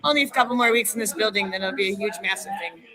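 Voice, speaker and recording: A woman's voice: medium pitch, racing, sharp tone. A woman chatting casually and enthusiastically as a wrestling trainer and podcast guest. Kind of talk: casual chat.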